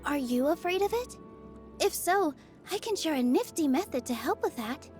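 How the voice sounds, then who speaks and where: A young woman dancer from a video game, with a very high, sweet voice. The temper is gentle, sunny and earnest, always softly encouraging. Faint music plays underneath. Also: lively intonation, moderate pace. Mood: reassuring. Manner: sweet, soft-hearted, bubbly, coaxing. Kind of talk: scripted video game character lines.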